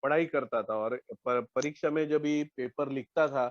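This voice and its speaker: A Marathi man from Maharashtra, giving a candid, reflective personal testimony at an online support meeting, with hesitant pauses and restarts. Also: medium pitch, lo-fi sound, a little hiss or hum. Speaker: recovering member sharing at a support meeting.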